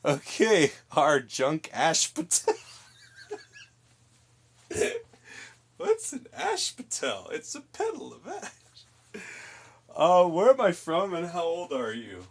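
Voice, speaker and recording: A man in his late twenties, hosting a relaxed live stream and reading viewer messages aloud. He giggles often and speaks slowly, his somewhat husky voice rising and falling in lively swings. The tone is casual and chatty throughout. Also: on the high side. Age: 20s. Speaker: livestream host. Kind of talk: casual chat with chat while painting.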